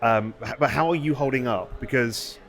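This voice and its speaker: Male podcast host, mid-pitch, casual, talks in loops and restarts. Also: normal speed, fairly bright tone. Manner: rambling, restarts, asks long questions. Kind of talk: casual interview chat.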